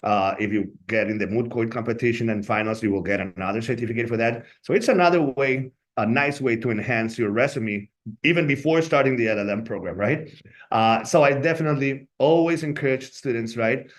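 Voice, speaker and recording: A man's voice: mid-range voice, brisk. A man from Colombia whose first language is Spanish, speaking with a Colombian Spanish accent in a webinar. He sounds earnest and often ends his points with "right?". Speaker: law school staff member. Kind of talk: informational webinar talk.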